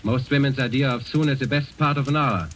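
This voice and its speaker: A mid-pitched, imperious German official acted by a man, condescending and impatient. He speaks in vintage radio drama style on a faintly noisy recording. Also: brisk, fairly bright tone, close to an everyday voice. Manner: condescending, commanding. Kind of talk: 1940s radio drama acting.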